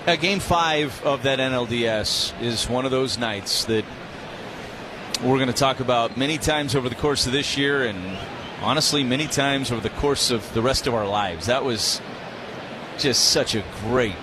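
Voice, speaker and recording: A man's voice: mid-range voice, moderate pace. American male baseball analyst, noisy stadium. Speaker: baseball color commentator. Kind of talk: conversational live sports commentary. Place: stadium broadcast booth. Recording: noisy ballpark ambience behind. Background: steady ballpark noise.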